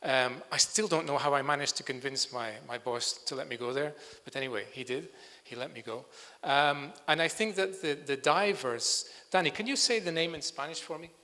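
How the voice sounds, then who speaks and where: A man's voice: on the high side, moderate pace, hardly any pauses, bright, forceful tone. A man from Scotland giving a conference presentation in a lecture hall, speaking hesitantly and searching for words. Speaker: bird sound recordist giving a talk. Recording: reverberant hall.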